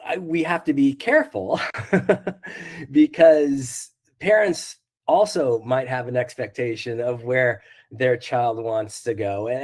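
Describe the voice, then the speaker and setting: A slightly hoarse man talks things through as a school counselor on a panel. His pitch sits in an ordinary middle range. He is earnest, repeats words as he restarts his sentences, and laughs softly now and then. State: hoarse from a cold. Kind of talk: panel discussion.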